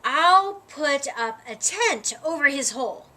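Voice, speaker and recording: A woman's voice: high voice, expressive, takes it slowly, pushed and bright, full character voice. A woman voicing an outspoken, worried little bunny in a children's storybook, earnest and emphatic. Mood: eager.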